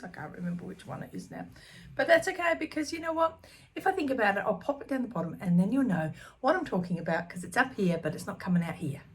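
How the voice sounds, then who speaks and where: A woman in her fifties chatting casually to camera about her stitching, scattered and full of ums, her voice slightly croaky. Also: mid-range voice, slight background noise. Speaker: cross stitch vlogger. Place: small room at home.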